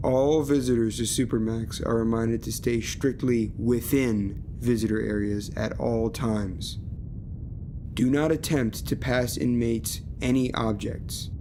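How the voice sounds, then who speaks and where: A man playing an impersonal prison announcer in an audio drama, reading a formal warning in a measured, official, detached way. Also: medium pitch, steady tone, background noise, natural voice. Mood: stern, official. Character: impersonal male prison public-address announcer.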